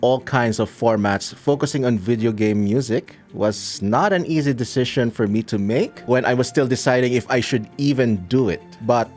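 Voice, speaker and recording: A man from the Philippines whose first language is Tagalog, a YouTube creator answering viewer comments in a dry, measured way. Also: medium pitch, a little mellow, soft background music. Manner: dry, measured, pauses edited out. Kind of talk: casual commentary to camera.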